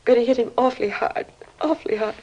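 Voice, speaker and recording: Actress as grieving middle-aged mother, American, fragile breathy voice, gravelly catches, disbelieving, old radio crime drama. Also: on the high side, quick pace, darkish tone, lo-fi sound, a little hiss or hum, close to an everyday voice. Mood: dazed, sorrowful. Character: distraught, grieving mother of a teenage boy, disbelieving.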